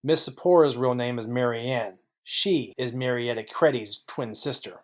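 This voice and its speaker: A man acting as a cool noir private eye in a mystery audio play, in a medium-pitched voice. He lays things out deliberately, with confidence and wry wit. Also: natural voice. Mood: narrating. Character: cool, clever male private detective.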